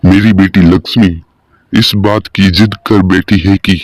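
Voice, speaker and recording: A man's voice: deep voice, steady tone, a little slow, no room sound, soft background music. A man playing a rich village landlord, a stern, authoritative but doting father in a cartoon moral story. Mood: firm, conciliatory.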